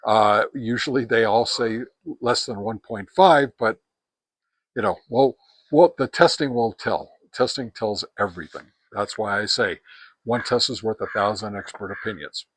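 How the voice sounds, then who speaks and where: A man's voice: on the low side, normal speed, dry recording. Plain-spoken American man, dry close sound. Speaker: host of a ham radio gear review channel. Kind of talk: casual hands-on product review talk.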